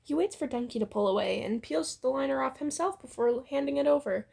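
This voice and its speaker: A woman's voice: high-pitched, a little fast, vocal fry, warm tone, breathy edge. A young woman casually reading fan fiction aloud, playfully acting out the dialogue and bantering. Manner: playful, acts out dialogue with exclamations. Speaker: amateur fan fiction reader and co-host.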